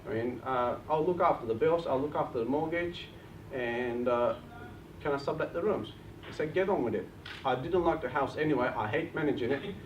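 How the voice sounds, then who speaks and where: A man's voice: mid-range voice, fairly quick. A man in his thirties from Bulgaria with a Bulgarian accent, giving an earnest motivational talk at a property seminar. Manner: earnest, rallies the crowd. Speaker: property investment speaker. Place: event hall. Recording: roomy, distant, dull lo-fi with faint noise.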